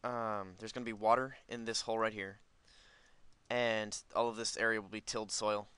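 A man with a fairly low, bright voice talks through a video game in a casual, unscripted way. He rambles and thinks aloud as he goes. The sound is dry and close. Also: level tone, moderate pace, smooth, no room sound. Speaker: gaming video host. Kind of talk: casual, unscripted gameplay commentary.

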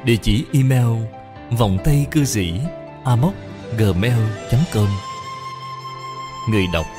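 A man's voice: mid-range voice, slow pace, music in the background. A man reading out scripted credits as a narrator. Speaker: reader. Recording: long hall reverb.

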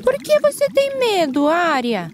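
A tiny cheerful dolphin girl chirps in a very high, lively voice. She speaks slowly and giggles easily. Music runs behind her. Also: noisy background, full character voice. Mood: encouraging. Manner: squeaky, bubbly, giggly. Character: small cheerful young female dolphin. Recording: cartoon dub with music behind.

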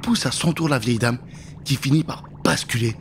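A man's voice: mid-range voice, normal speed, background noise. A man in his thirties telling a children's story dramatically into a close studio microphone. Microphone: close studio microphone with pop filter.

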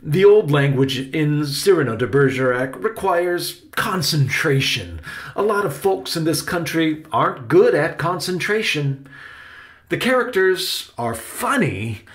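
A man's voice: on the high side, very animated, a little slow, a bit bright, pitched above the performer's own voice. A man voicing a passionate, earnest uncle who acts on the stage, with an emphatic, declamatory delivery in a storybook reading.

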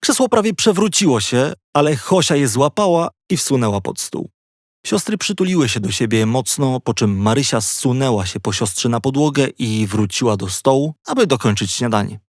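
A man narrating a scripted children's story with expressive storytelling delivery. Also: medium pitch, moderate pace, no echo, clean studio sound. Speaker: audiobook narrator.

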